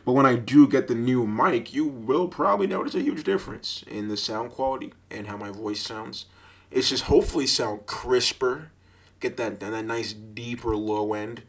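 A young man with a deep voice hosting a casual solo podcast, telling stories in a rambling, animated way, full of fillers and laughter, into a budget mic with a sock pop filter. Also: normal speed. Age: young adult. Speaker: podcast host.

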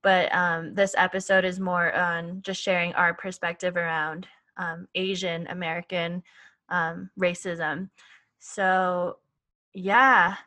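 A woman's voice: on the low side, level tone, slow, pushed and bright. A woman from Canada co-hosting a podcast, speaking earnestly and thoughtfully with frequent "like"s. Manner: earnest, thoughtful, uses "like" often. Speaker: podcast co-host. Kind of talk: casual conversation.

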